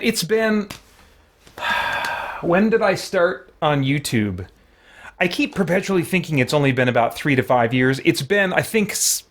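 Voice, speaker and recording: A man hosting a livestream in a casual, rambling, thoughtful way, often pausing mid-sentence. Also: a little high, fairly bright tone. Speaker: livestream host.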